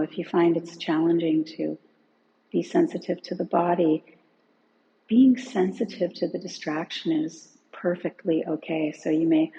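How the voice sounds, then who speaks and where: Low, creaky-voiced woman guiding relaxation. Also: lo-fi sound, slight background noise. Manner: gentle, unhurried with long pauses. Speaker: meditation and yoga teacher. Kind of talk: guided relaxation instruction.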